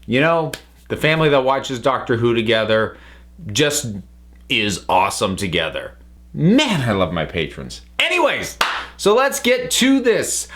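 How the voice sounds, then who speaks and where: An American man giving a casual, opinionated review as a vlog host, thinking aloud in halting phrases with emphatic stresses. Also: medium pitch, fairly bright tone.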